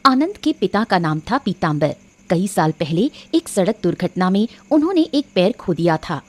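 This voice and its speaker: Animated woman story narrator. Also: on the low side, moderate pace. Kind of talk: scripted narration of a moral cartoon story.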